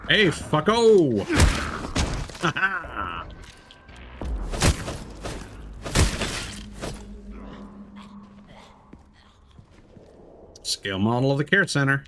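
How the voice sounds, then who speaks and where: A man streaming a video game, talking through it in an expressive, playful way with casual swearing. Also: a little high, extremely slow, long pauses, a little hiss or hum. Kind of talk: gameplay stream commentary with dramatic reading aloud of in-game documents.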